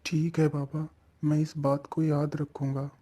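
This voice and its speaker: A man's voice: a little high, completely flat delivery, measured pace, mellow. A man voicing a humble, good-natured younger brother from a village in a story cartoon, speaking earnestly. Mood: obedient. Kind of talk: Hindi moral-story cartoon dialogue.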